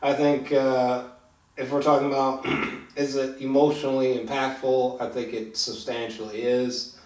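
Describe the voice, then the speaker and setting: A man giving a casual film review as host, talking in a halting, informal way and swearing freely. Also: medium pitch. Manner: halting, filler-heavy, swearing, animated when excited. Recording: echoey room, distant from mic.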